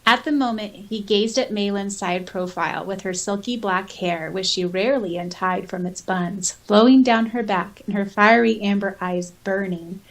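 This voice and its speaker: A woman with a medium-pitched voice co-hosts a podcast. She talks in a casual, conversational way and at times reads aloud. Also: normal speed, few pauses, low-quality recording. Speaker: podcast co-host and audiobook narrator. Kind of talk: casual chat, some reading aloud.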